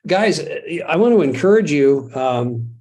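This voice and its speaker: A man's voice: a little high, big pitch swings, measured pace, a little mellow. A middle-aged American man hosting a live webinar in a casual, chatty way, joking at his own expense and chuckling often. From United States. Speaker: webinar host.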